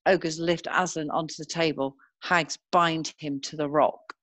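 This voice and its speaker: A woman narrating a screenplay, reading scene headings and stage directions in a measured way. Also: low-pitched, fairly even intonation, fairly bright tone, no room sound.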